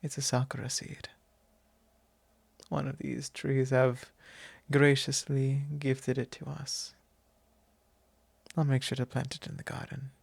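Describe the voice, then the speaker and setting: A courteous vampire lord, played by a man, confides softly in an ASMR roleplay. His voice has a gravelly creak and moves very slowly, in formal, hesitant phrases. It is solemn and tender, very close and dry on the microphone. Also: mid-range voice, monotone, dry recording, exaggerated voice. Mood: gentle, apologetic. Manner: measured, formal, hesitant phrasing with soft breathy drops. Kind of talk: ASMR roleplay, soft intimate monologue.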